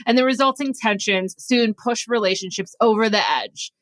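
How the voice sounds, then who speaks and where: A woman's voice: mid-range voice, fairly bright tone, no echo. A woman hosting a podcast, introducing the show in a conversational way. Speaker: podcast host.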